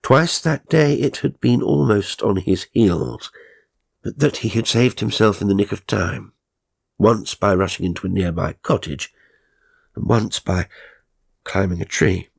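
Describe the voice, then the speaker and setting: A man narrating a horror story in a measured, ominous, theatrical way, with a gravelly, husky voice. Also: on the low side, no room sound. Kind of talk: dramatic scripted horror narration. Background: faint music.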